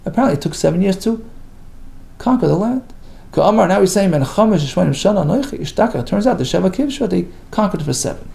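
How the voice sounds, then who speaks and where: Lively, gravelly man teaching Talmud. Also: high voice, quick pace. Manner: reads Hebrew and Aramaic phrases then translates, lively and emphatic. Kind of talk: daily page lesson, reading and explaining.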